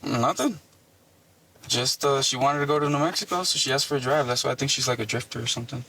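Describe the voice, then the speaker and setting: A man's voice: mid-range voice, fast, sharp tone, slight background noise. A young man from Puerto Rico, not a native English speaker, giving casual, hesitant and evasive answers as a driver questioned by police, on body camera audio. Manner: hesitant, hedging.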